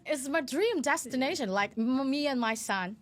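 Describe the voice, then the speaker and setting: A woman's voice: sits high, lively intonation, normal speed. A woman in her thirties with a Vietnamese accent, telling her story earnestly as an interview guest in non-native phrasing. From Vietnam, Hanoi. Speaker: interview guest, educator and CEO.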